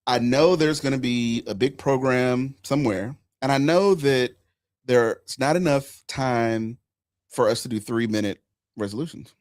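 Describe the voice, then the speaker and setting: A man hosting a live podcast, telling stories in an animated, emphatic way. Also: mid-range voice, measured pace, no room sound, studio quality. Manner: animated storytelling, emphatic asides. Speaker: podcast host. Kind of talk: casual live chat.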